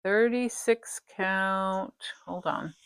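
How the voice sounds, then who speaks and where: A woman hosting a cross-stitch video from her craft room, chatting casually and rambling a little, her voice slightly raspy from a dry throat. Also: medium pitch, steady tone, very slow, a bit bright. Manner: chatty, rambling, self-interrupting. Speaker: flosstube host. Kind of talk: casual show-and-tell chat.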